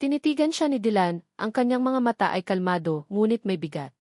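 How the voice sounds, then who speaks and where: A woman's voice: mid-range voice, sing-song, brisk, a little mellow, dry recording. Woman narrating a dramatic story, dry studio sound. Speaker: narrator of audio love stories.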